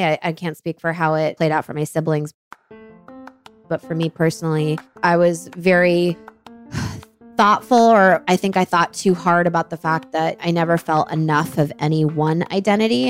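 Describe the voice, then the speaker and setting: A woman in her thirties speaks with an American accent in a low, unhurried voice. She tells her own story in a measured, candid and reflective way. Soft music plays faintly behind her. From born in Dubai, raised in Bangladesh and Pakistan. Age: 30s. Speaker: venture capital founder, guest.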